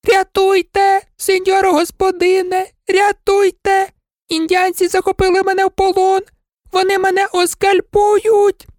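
A woman's voice: high voice, moderate pace, darkish tone, no room sound, clean studio sound, voice pushed up, natural voice. A woman voicing a frightened, chattering old servant woman who pleads and wails. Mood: panicked pleading. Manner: pleading, wailing.

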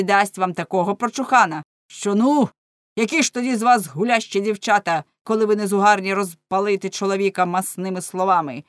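Woman acting loud, menacing bawdy-house madam. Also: mid-range voice, dry recording, clean studio sound, close to an everyday voice. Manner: loud, commanding scolding.

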